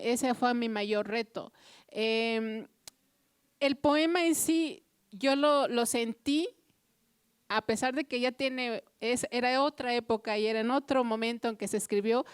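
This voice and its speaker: A woman whose first language is Purépecha, speaking as a translator at a book presentation in earnest, reflective formal remarks, often pausing on "eh". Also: on the high side, clear, resonant tone, no echo, studio quality. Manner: earnest, reflective, with frequent "eh" fillers. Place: official venue before an audience.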